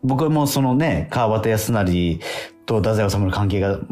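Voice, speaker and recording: A man's voice: on the low side, expressive, a little slow, a little mellow, soft background music. A man hosting a radio show in casual chat, with frequent backchannels and chuckling asides.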